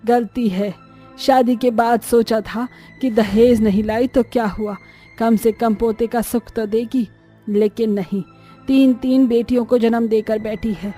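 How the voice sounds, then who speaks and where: A woman's voice: fairly high pitch, fairly even intonation, unhurried, mellow, faint music behind. A woman voicing a cruel, taunting elderly mother-in-law who scolds harshly in a family story cartoon. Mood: bitter, scolding. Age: older.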